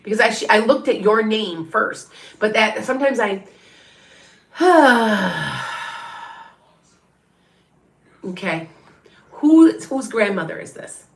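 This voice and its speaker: A woman's voice: mid-range voice, lively intonation, drawn-out words, gravelly, long pauses, roomy sound. A middle-aged woman from Wisconsin with an Upper Midwest accent, a psychic medium rambling through a live reading and chatting with her viewers. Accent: Upper Midwest, northwest of Chicago. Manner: rambling, chatty, many restarts and asides.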